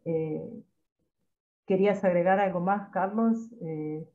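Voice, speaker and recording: A woman moderating a seminar on a video call, speaking in a measured way as she thanks the guests. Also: fairly low pitch, narrow, tinny audio. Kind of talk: closing remarks.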